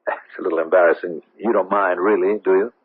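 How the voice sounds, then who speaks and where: A man's voice: sits low, steady tone, fast talker, breathy edge. A man playing an evasive, flustered middle-aged American, hesitant and defensive, in a radio drama. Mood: casual. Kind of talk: 1970s radio drama acting. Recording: tinny, hissy old radio broadcast.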